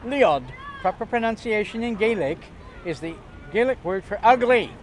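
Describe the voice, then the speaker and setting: An older man with a slightly high voice spins chatty, theatrical stories. He talks outdoors on a pier into a close camera mic. Clear traffic and city noise run behind him. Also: animated, normal speed, some chatter behind. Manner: chatty, theatrical, jokey with bursts of loud laughter. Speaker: interviewee. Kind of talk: casual storytelling.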